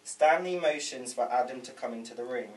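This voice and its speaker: A man's voice: mid-range voice, brisk. A man narrating scripted stage directions at a table read. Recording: slightly distant room mic, faint noise.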